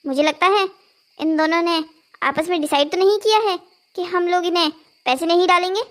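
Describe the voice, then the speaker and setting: A woman's voice: very high voice, fairly even intonation, a little fast. A woman voicing a young, complaining daughter-in-law in a melodramatic Hindi cartoon family drama. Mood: puzzled.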